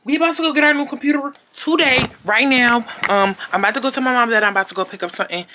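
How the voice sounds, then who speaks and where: A young woman in her late teens vlogging casually to a handheld camera, excitable and full of slangy exclamations and squeals. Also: sits high, rapid-fire, background noise. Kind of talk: casual vlog chat to camera. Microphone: handheld camera close to face.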